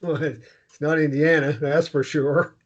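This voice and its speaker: A man playing an awkward, gently joking American man in love in a naturalistic romance drama read, hesitant and stumbling over his words. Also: a little high, fairly even intonation, a little slow, muffled recording. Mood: wry.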